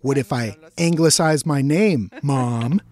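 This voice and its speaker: A man's voice: mid-range voice, takes it slowly, a little mellow. A middle-aged man from Mexico, a native Spanish speaker, narrating a radio feature in a wry, self-mocking way. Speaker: radio reporter.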